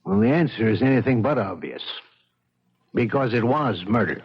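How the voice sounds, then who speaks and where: Actor as firm police lieutenant, heavy noise. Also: male voice, lowish voice, animated, moderate pace, lightly acted. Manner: firm, matter-of-fact. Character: firm, matter-of-fact male police lieutenant. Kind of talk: 1970s radio drama acting. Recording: dull, very noisy vintage radio recording.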